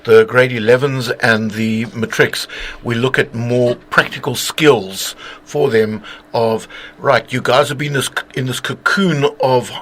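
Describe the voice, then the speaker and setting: A man from Cape Town answers questions as a guest on a radio interview. His voice sits at a mid pitch for a man. He explains in a measured, steady way. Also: a little hiss or hum. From Cape Town, South Africa. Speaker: foundation co-founder, guest.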